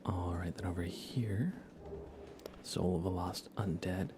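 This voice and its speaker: Male ASMR game streamer: very low, gravelly, hushed and casual, with clear background noise. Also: steady tone, slow. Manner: hushed, often slipping into whisper. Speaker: ASMR livestreamer. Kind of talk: soft-spoken ASMR gaming commentary, casual.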